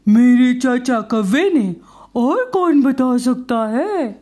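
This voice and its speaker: A woman's voice: high-pitched, animated, dark tone. A woman voicing a gullible, timid father owl in a children's folk tale, with a plaintive manner. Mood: defiant, proud.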